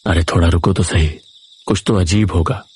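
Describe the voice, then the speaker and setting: A man's voice: deep voice, steady tone, moderate pace, a little mellow, slightly rough. A man narrating a scripted first-person horror story in a dramatic, suspenseful manner.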